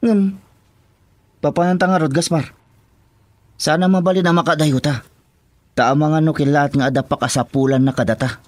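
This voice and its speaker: A man's voice: on the high side, fairly even intonation, normal speed, pauses often, dry recording, lightly acted. An actor playing a teasing, wisecracking henchman sidekick in an Ilocano radio drama. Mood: wary.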